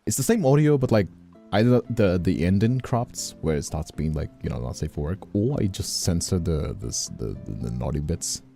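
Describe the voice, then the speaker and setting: Casting: male streamer, very low and gravelly, lively intonation in casual chat, faint music behind. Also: moderate pace. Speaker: streamer and audio creator.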